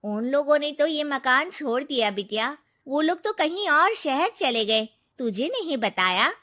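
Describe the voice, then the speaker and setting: A woman's voice: high voice, animated, a little slow, bright, forceful tone, voice pushed up, heavily acted. A woman voicing a kindly, motherly neighbor aunt who speaks with concern.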